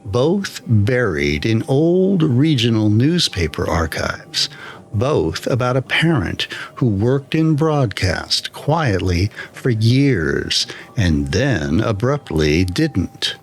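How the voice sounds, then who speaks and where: A man reading a scripted first-person horror story in a measured, deliberate way, with pauses between phrases. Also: on the low side, very animated. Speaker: storyteller, channel narrator.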